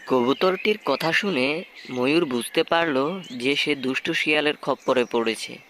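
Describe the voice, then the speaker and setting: A man narrating a children's animated folk tale in a scripted storytelling style. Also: a little high, a little slow, a little hiss or hum.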